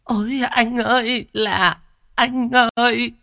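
A man voicing a scheming, brash middle-aged village woman who wails falsely and boasts loudly. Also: extremely high pitch, very animated, unhurried, no echo, clean studio sound, pitched above the performer's own voice, full character voice. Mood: fake wailing. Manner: fake wailing, brash and boastful.

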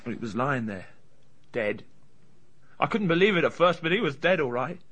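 An actor in a radio drama playing a surly, hot-tempered, frightened British bully with blunt, colloquial speech. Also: male voice, a little high, very animated, moderate pace, fairly bright tone, husky, heavily acted. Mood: reluctant confession.

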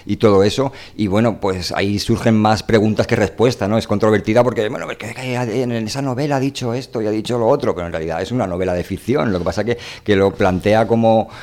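A man's voice: lowish voice, steady tone, quick pace, hardly any pauses, a little mellow. A man from hosting a casual, rambling literary radio discussion, hesitantly repeating words as he searches for phrasing. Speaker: radio show host.

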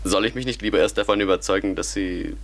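A man's voice: fairly low pitch, fast talker, creaky. A man playing a wry, teasing character in a radio play, with a dry way of talking.

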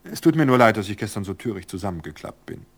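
A man's voice: fairly low pitch, expressive, quick pace. A man putting on a breezy, cheerful society lady. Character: cheerful flighty society woman. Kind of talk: audiobook character voice.

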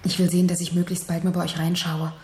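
Actress doing a young factory girl in a radio play, serious n defiant, voice a bit low, echoey room. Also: level tone, fast talker, a little mellow, close to an everyday voice. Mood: friendly. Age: young adult. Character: earnest, defiant young factory woman in wartime Germany. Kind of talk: radio drama acting. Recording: roomy, echoing interior.

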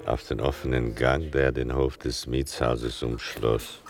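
An older man giving a literary scripted narration in a measured, wry, deliberate manner. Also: very deep voice, flat delivery, gravelly, soft background music.

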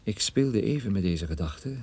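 An actor playing a wry, gloomy male private detective, narrating a radio play in a dry, ironic, measured way. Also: on the low side, expressive, warm tone, breathy edge. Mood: gloomy, reflective.

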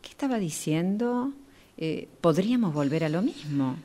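A woman's voice: lowish voice, lively intonation, normal speed, dark tone. A woman reading a weary, sceptical tramp in an amateur radio play.

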